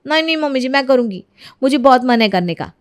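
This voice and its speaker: A woman's voice: high-pitched, animated, quick pace, voice pushed up. A woman voicing an eager young newlywed daughter-in-law, sweet and coaxing, in an acted storybook tale. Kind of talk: acted Hindi storybook narration.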